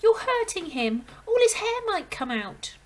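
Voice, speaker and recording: A kind, gentle young teacher, voiced by a woman reading a storybook, pleading in a high, soft and anxious voice. She sounds lighter and higher than the narration around her. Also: very animated, measured pace, full character voice.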